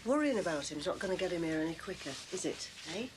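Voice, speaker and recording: An actress playing a calm wife who reassures her worried husband. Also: on the low side, quick pace, close to an everyday voice. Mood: reassuring. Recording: background noise in a drama scene.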